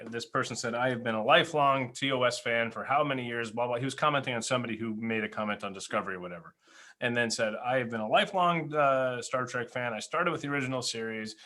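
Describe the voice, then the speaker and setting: Mid-pitched man in casual fan chat. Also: sharp tone. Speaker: podcast co-host.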